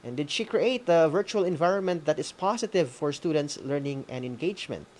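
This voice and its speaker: Higher-voiced Filipino man over background noise. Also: animated, brisk, a little mellow. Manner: hesitant, often checks with a tag "no?". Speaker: instructor leading an online session. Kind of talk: informal instructional walkthrough.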